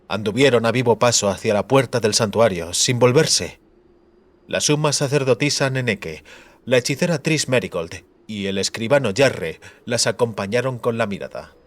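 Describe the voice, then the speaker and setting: A man's voice: medium pitch, no room sound. A man reading dramatic scripted narration as an audiobook narrator. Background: music.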